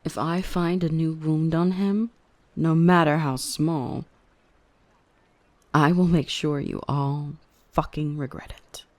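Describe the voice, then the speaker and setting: A woman playing a dominant yet protective dark elf mistress in an ASMR roleplay, by turns cutting and tender. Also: deep voice, fairly even intonation, slow pace, dry recording. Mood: threatening. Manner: stern and cutting to traders, tender and coaxing to the human. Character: dominant female dark elf, protective, gentle with her rescued human.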